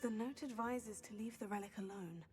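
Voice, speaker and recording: A young woman playing a composed, diplomatic teenage witch in a video game, measured and articulate. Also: mid-range voice, a little mellow, soft background music, close to an everyday voice. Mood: curious. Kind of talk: dramatic video game voice acting.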